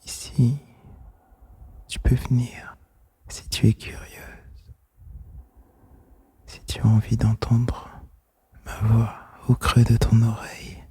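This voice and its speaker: Very slow whispering man, breathy and gentle. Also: mid-range voice, monotone. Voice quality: breathy, mostly unvoiced whisper. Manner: slow, gentle, intimate, soothing. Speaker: host of a whispered relaxation podcast. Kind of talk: ASMR whispered guided relaxation monologue. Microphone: close microphone, made for headphones.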